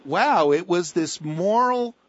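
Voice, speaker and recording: A man's voice: high voice, expressive, slow, highs cut off. Emphatic male radio host. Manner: emphatic, preacher-like repetition. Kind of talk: talk radio conversation.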